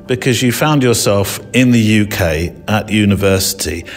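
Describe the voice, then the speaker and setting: A man's voice: on the low side, normal speed, faint music behind. A man from Hertfordshire with a southern English accent, hosting a podcast with conversational questions and narration. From Hertfordshire, England. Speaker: podcast host.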